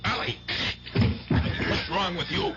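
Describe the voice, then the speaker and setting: An actor playing a gruff, easygoing middle-aged uncle who runs a gambling club, talking in casual tough-guy slang in a radio drama. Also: takes it slowly, lightly acted. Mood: alarmed. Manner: casual tough-guy slang, clipped working-class phrasing. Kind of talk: 1970s radio drama acting. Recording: muffled, noisy 1970s radio broadcast.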